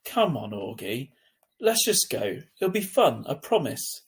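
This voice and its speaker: A man voicing a caring, patient older sibling who speaks softly and coaxingly in a children's novel read aloud. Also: medium pitch, measured pace. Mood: calm coaxing. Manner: soft, calm, coaxing.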